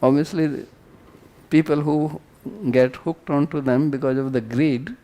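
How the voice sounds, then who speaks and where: A man's voice: on the high side, fairly even intonation, measured pace, dark tone, rough recording. A man with an Indian accent teaching a scripture class, reciting Sanskrit and explaining it in short phrases.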